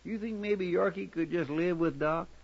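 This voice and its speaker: High-voiced, folksy rural American sidekick. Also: male voice, moderate pace, gravelly. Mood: fretful. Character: folksy, fretful sidekick of a frontier marshal. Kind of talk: 1950s radio western acting. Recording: dull, noisy old radio recording.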